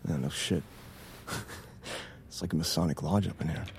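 Cheeky assistant dude, real low croaky voice, laid-back and sarcastic, audio drama. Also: male voice, moderate pace, heavily acted. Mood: teasing. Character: cheeky, teasing young male assistant. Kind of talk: naturalistic audio drama acting.

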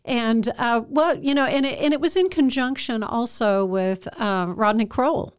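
A woman's voice: a little high, unhurried, clean tone. A woman hosting an interview, asking questions in a chatty, rambling way with frequent restarts. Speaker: interview host. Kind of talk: casual interview chat.

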